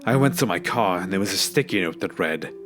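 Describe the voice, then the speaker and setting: Low-voiced man narrating, very animated, over music. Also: brisk. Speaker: narrator of scary stories. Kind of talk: scripted first-person horror story narration. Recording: background music under the voice.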